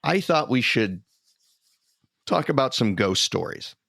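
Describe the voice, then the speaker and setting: Guy cohosting a podcast, chatty storyteller, mid pitched voice, really dry sound. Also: sing-song, measured pace, creaky, a bit bright, dry recording. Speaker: podcast co-host. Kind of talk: casual chat and storytelling.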